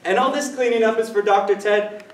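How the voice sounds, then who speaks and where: A young man playing an excitable, teasing husband in a sitcom-style stage play. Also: sits high, fairly quick, lightly acted. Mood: teasing, exasperated. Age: young adult. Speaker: stage actor. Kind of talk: 1960s sitcom parody stage acting. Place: theater stage. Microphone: distant camera microphone. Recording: roomy, noisy distant stage recording.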